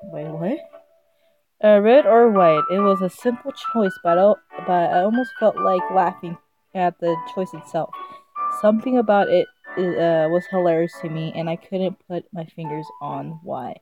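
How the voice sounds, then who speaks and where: A woman's voice: on the low side, normal speed, muffled recording, music in the background. A woman streaming a visual novel, reading it aloud casually, often stumbling over the words in a giggly way. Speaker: game streamer.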